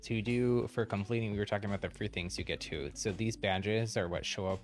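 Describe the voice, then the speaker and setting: A man's voice: fairly low pitch, fairly even intonation, fairly quick, faint music behind. A man livestreaming a video game with casual, chatty, informal commentary. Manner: informal, often chuckles between remarks.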